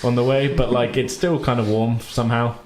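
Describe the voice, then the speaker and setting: A man's voice: mid-range voice, flat delivery, a little fast, a little mellow. A British man running a tabletop game as game master, talking in an informal, jokey, rambling way. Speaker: game master and podcast host. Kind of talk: casual tabletop game narration. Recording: roomy echo with background noise.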